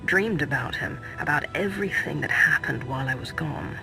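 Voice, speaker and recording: An actor playing a composed, confident Sith sorcerer in a video game, with a measured, assured manner. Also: male voice, fairly high pitch, expressive, vocal fry, music in the background, low-quality recording. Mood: grave, troubled. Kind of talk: video game cutscene acting.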